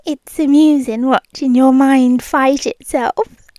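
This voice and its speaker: A British woman as a soft-whispering possessive yandere girlfriend. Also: high-pitched, fairly even intonation, dry recording. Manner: slow, soft-spoken, often whispering close. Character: possessive rich yandere girlfriend, calm and controlling. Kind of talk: ASMR roleplay.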